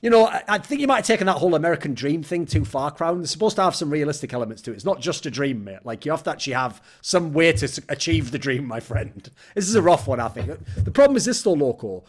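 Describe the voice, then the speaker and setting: A man's voice: fairly high pitch, expressive, fast talker, hardly any pauses. A man, a guest commentator in a podcast discussion, talking bluntly and swearing casually as he rants his opinions.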